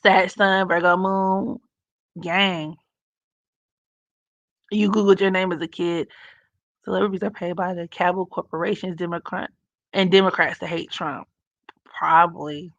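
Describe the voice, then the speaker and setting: Raspy, bright-toned woman on a live stream. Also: on the low side, fairly even intonation, measured pace, long pauses, dry recording, muffled recording. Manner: blunt, profane and opinionated, laughs often. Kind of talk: casual live stream chat reading comments.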